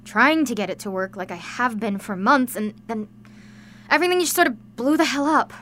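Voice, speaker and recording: A woman's voice: on the high side, expressive, moderate pace, fairly bright tone, slight background noise. A woman playing a frustrated, self-doubting teenage girl mechanic in a radio drama, sardonic and hesitant. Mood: exasperated. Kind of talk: naturalistic radio drama acting.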